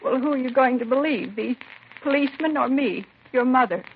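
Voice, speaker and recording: An older, possessive mother under suspicion in a vintage radio crime drama. Her voice is creaky and intense, quick to take offence. The recording is thin and tinny, with a light hiss. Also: high-pitched. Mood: pleading, accusing. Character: possessive, defensive older mother confessing to murder. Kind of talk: 1950s radio drama acting.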